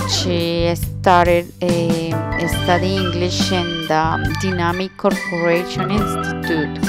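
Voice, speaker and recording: A woman with a Colombian Spanish accent gives an informal class presentation in English as a second language, searching for words and correcting herself. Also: lowish voice. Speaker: language student presenting an interview.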